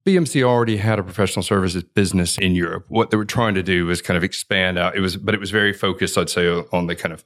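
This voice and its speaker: A man's voice: low voice, steady tone, fast talker, hardly any pauses, fairly bright tone. A man being interviewed about his consulting career, answering in a reflective, rambling way with many restarts. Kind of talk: conversational podcast interview.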